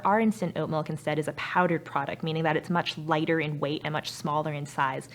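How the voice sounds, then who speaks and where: Low-voiced young Canadian woman. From Canada, grew up in Vancouver. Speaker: startup founder and chief executive. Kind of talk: interview answers about own company.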